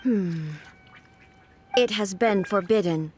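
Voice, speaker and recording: A woman's voice: mid-range voice, slow, darkish tone, a little hiss or hum, natural voice. A woman voicing a stern puppet guardian in a video game, formal, clipped, detached and imperious. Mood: detached, curt. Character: stern, cold female puppet guardian of a ruler. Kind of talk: video game character voice acting. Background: music.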